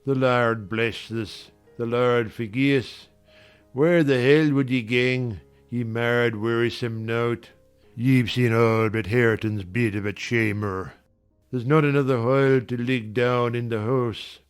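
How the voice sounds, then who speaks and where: A man's voice: mid-range voice, fairly even intonation, clean tone, faint music behind, lightly acted. A man playing a pious, grumpy old servant with a Yorkshire accent, scolding sanctimoniously in a dramatized audiobook. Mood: scornful scolding. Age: older. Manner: scolding, sanctimonious, slow and drawn-out.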